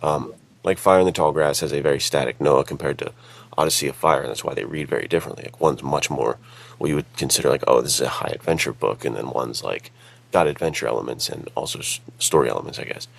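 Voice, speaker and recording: A man chatting casually as a podcast co-host, with a gravelly voice. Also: rumbling low, fast. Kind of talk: casual chat. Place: outdoors while on vacation. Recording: music behind, rough sound quality.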